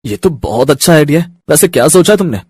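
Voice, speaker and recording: A man's voice: on the high side, animated, quick pace, a little mellow, husky, no echo, clean studio sound. A man voicing an easygoing, supportive young husband and son in a cartoon story. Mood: cheerful. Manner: chatty, agreeable. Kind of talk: Hindi cartoon moral story.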